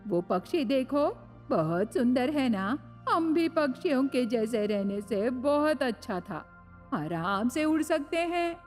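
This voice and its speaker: Cartoon grandmother played by a woman: elderly, dreamy villager, unhurried speech, heavy reverb over soft music. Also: sits high, lively intonation. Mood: wistful, fond.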